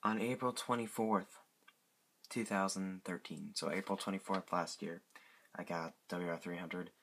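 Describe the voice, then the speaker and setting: A man's voice: sits low, steady tone, a little slow, background noise. A man casually showing off a collection as a hobbyist, rambling and repeating short phrases of praise. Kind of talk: casual product show-and-tell.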